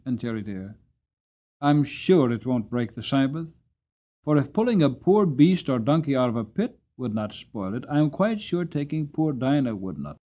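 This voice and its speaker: A man puts on a cabman's wife from a storybook. She is caring and earnest, coaxing gently as she makes her case. The pitch moves in lively turns. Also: highs cut off, lightly acted. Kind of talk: dramatic storybook character reading.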